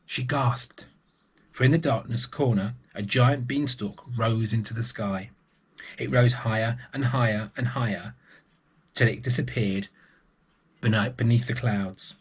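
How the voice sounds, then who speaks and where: Male early years story reader: husky, mid-pitched, expressive, chatting to young listeners. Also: a little slow. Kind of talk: expressive children's story reading.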